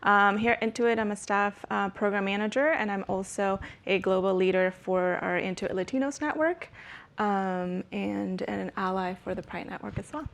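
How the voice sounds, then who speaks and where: A woman's voice: medium pitch, steady tone, moderate pace, creaky. Woman introducing herself. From Peru. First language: Spanish. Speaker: program manager. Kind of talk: panel introduction.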